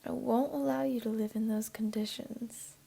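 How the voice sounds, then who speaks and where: Casting: woman to play teasing, doting jaguar girl for ASMR roleplay; soft, mellow, whispery, slightly high voice. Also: fairly even intonation, normal speed, heavily acted. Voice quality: soft, breathy, often whispery. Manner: teasing, cooing, soothing. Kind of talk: ASMR roleplay, intimate one-to-one.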